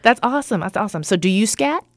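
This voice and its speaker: A woman's voice: medium pitch, animated, quick pace, no echo, studio quality. A woman interviewing a guest, asking curious questions and giving frequent short acknowledgements.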